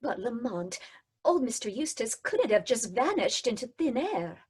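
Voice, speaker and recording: High breathy 1940s radio heroine, voice jumps all over. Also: female voice, sing-song, normal speed, a little mellow, full character voice. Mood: worried. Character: brave young woman sidekick, the hero's companion. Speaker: radio drama actor. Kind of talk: old-time radio drama reading. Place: home, over a video call.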